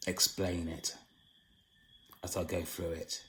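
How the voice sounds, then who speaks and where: A hoarse man hosting his own channel, giving a solemn spiritual monologue in a slow, halting way with long pauses. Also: sits low, level tone, a bit bright, slight background noise. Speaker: channel host.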